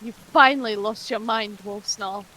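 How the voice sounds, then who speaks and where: A woman voicing a fierce, protective mother she-cat who talks in a forceful, threatening way. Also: medium pitch, steady tone, unhurried, a little hiss or hum, rough recording.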